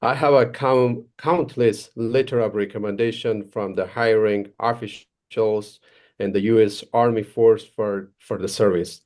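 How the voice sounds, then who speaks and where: Male guest speaker, Afghan accent, fairly low pitch, formal and halting delivery of a personal account. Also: steady tone, a little mellow. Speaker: case manager and former interpreter, guest speaker. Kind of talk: prepared personal story at a webinar.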